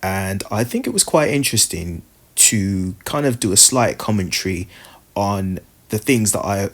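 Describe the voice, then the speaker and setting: A man giving a casual, hesitant commentary on a dating show clip, with lots of fillers. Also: low voice. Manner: hesitant, filler-heavy, musing. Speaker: Bible study commentator.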